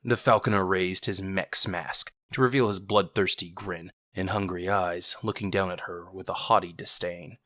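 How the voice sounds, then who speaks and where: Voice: low voice, fairly even intonation, moderate pace, few pauses, bright, forceful tone. A narrator reading a scripted action story in a dramatic style.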